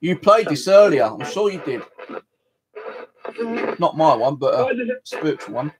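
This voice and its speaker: A man's voice: fairly high pitch, big pitch swings, measured pace, low-quality recording. A British man hosting a livestream from his home, rambling casually and swearing now and then as he chats and tells stories. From United Kingdom. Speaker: livestream host of a ghost box session. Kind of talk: casual chat and storytelling. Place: at home in a flat.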